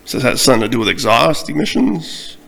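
A man's voice: mid-range voice, gravelly, pushed and bright. A young man co-hosting a casual podcast, laid-back and goofy, giving short replies. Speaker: podcast co-host. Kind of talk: casual banter. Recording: noisy, crackly recording.

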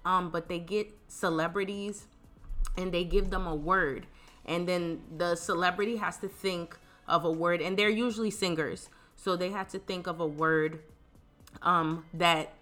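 A woman's voice: fairly low pitch, fairly even intonation, unhurried, a bit bright, faint music behind. A woman in her thirties hosting a podcast, sounding congested and talking in a chatty, laid-back way. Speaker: podcast host. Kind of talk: casual podcast chat.

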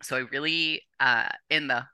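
Podcast guest: young North American woman, informal and animated, sharp bright tone, close dry sound. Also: extremely low pitch, normal speed, smooth, no room sound. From born or raised in Canada, later the United States. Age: young adult. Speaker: podcast guest, competitive bodybuilder. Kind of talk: casual chat, personal storytelling.

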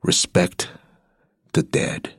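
An actor playing a weary, tender middle-aged uncle haunted by prison in a radio play, confiding haltingly and wistfully. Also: male voice, a bit bright, no echo, clean studio sound. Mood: grim, haunted.

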